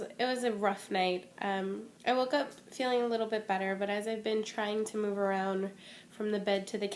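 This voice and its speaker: A young woman talks to her camera at home in a relaxed, candid way, full of small fillers and restarts. Her mid-range voice sounds a little stuffy and worn from a cold. A faint hum sits behind her. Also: medium pitch. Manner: chatty and candid, many ums and restarts. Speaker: vlogger. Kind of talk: casual talking-to-camera update. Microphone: phone or webcam.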